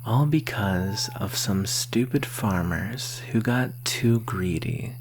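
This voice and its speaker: A woman playing a flirtatious, menacing vampire in a breathy, whispered ASMR roleplay, teasing and intimate up close to the mic. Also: a bass voice, slow, full character voice. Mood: bitter. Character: flirtatious, menacing thirsty vampire lurking in woods. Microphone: close microphone. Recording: faint steady hiss and hum.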